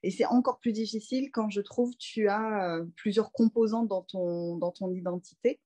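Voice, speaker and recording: A woman in her thirties from France, a doula speaking as a guest in a relaxed interview, thinking aloud with frequent fillers and restarts. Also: medium pitch, normal speed. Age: 30s. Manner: reflective, many fillers and restarts. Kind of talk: casual conversational interview.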